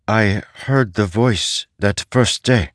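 A man's voice: fairly low pitch, takes it slowly, a bit bright, no echo, studio quality, pitched above the performer's own voice. A man voicing a troubled, dutiful young male warrior in an audiobook, hesitant and earnest.

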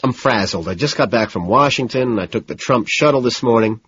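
A man performs a jittery, well-off man in an audiobook, desperately faking composure. He stammers and pushes eagerly, his slightly husky voice pitched up, in a dull, roomy recording. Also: sing-song. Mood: frazzled, rushed. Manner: nervous, rushed, stammering, over-insistent.

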